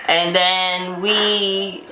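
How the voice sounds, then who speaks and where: Casual female vlogger, American, unhurried and bright, animated retelling of conversations. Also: on the low side. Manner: animated retelling with quoted dialogue. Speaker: vlog host telling a couple's story. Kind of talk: casual storytelling chat. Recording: roomy echo, light background noise.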